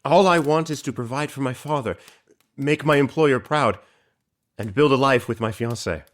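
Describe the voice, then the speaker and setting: A man's voice: medium pitch, moderate pace, lightly acted. A man playing an earnest, innocent nineteen-year-old merchant sailor in an audio drama, polite and openly trusting.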